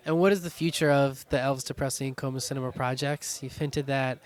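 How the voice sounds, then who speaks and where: A man hosts a podcast interview in a relaxed, mid-pitched voice. He asks loose, conversational questions and keeps the guest going with short, encouraging replies. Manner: supportive, quick to laugh, brief acknowledgments.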